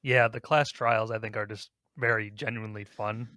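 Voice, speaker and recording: A man's voice: on the low side, steady tone, moderate pace, pushed and bright, no room sound, studio quality. A man co-hosting a podcast, chatting casually about video games in an informal, self-deprecating way. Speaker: podcast host.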